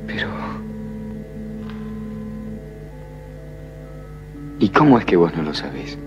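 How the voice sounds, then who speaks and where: An actor playing an earnest young country man with an Argentine accent, hushed and questioning in a 1970s film. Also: low-pitched, big pitch swings, fast talker, lo-fi sound, faint music behind. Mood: puzzled. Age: young adult.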